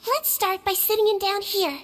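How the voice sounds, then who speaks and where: A woman voicing a small, gentle, girlish nonhuman head nurse in a video game, speaking calmly and reassuringly. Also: very high-pitched, normal speed. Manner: calm, reassuring, clinical.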